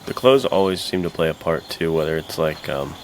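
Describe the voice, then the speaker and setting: Male co-host, deep voice, slangy casual talk, noticeable noise behind him. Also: a little fast. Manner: slangy, laid-back phrasing with "dude" and "like". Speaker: podcast co-host. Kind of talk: casual chat. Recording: clear background noise behind the voice.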